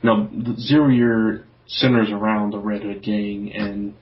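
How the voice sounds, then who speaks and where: Lowish-voiced man chatting, lo-fi podcast sound. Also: flat delivery, unhurried. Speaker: comics podcast co-host. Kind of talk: casual chat, opinions on comics. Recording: dull lo-fi podcast audio.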